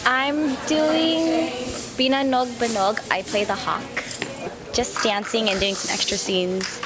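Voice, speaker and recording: A woman's voice: a little high, fairly even intonation, slow pace. A young woman who dances in a student show, answering casually in an interview. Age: young adult. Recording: loud crowd chatter behind.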